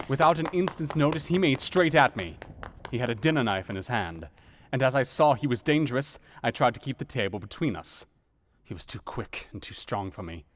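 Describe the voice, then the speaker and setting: A man's voice: on the high side, lightly acted. An actor voicing an asylum doctor who narrates his diary in an earnest, dramatic way, in a radio play.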